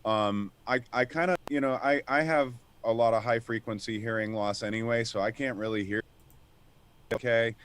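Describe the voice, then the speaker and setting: A man with a deep voice speaking as a guest on a casual panel, pausing and restarting as he searches for his words. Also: fairly bright tone, clear, resonant tone.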